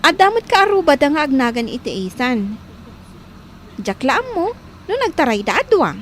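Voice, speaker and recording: Casting: chatty young village woman, very high animated voice, radio drama. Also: normal speed, a little hiss or hum. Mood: helpful, gossipy. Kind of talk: Filipino radio drama acting.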